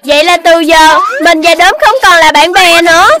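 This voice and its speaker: A woman's voice: very high-pitched, fairly even intonation, normal speed, heavy background noise. A woman with a southern Vietnamese accent voicing a stubborn, easily offended young girl rabbit in a children's cartoon, insistent and huffy. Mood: indignant.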